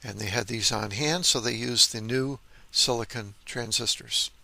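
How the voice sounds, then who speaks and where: A man's voice: medium pitch, normal speed, gravelly, bright, forceful tone, dry recording. An older man presenting as a radio hobbyist, giving an informal, methodical technical walkthrough in an explanatory way.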